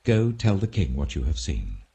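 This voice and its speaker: A man's voice: a bass voice, wildly swooping pitch, normal speed, gravelly, warm tone, heavily acted. A man voicing a harsh, impatient ancient army commander in a scripture audiobook, blunt and commanding. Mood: firm, dismissive.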